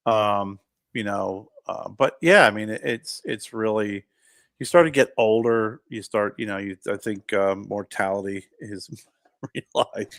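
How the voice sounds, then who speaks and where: A man's voice: fairly low pitch, unhurried, gravelly, a bit bright, no echo, studio quality. A man in his fifties co-hosting a podcast, talking in a reflective, rambling way with many restarts. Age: 50s. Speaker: podcast co-host. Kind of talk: casual chat.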